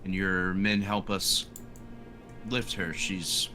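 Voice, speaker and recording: A man as a deep-voiced, earnest security sergeant. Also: steady tone, slow pace, pushed and bright, soft background music, heavily acted. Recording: clear room noise.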